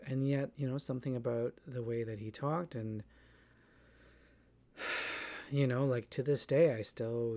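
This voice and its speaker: A man giving a reflective spoken monologue as a theatre artist, talking thoughtfully with many pauses, fillers and restarts. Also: mid-range voice, darkish tone. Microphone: voice-over, face not shown.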